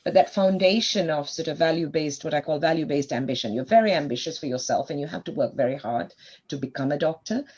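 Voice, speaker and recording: Woman, 50s, from Sri Lanka, podcast guest, husky voice, thoughtful storytelling. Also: sits low, expressive, fast, darkish tone, dull audio. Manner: reflective, storytelling at length. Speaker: guest, medical doctor. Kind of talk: podcast interview.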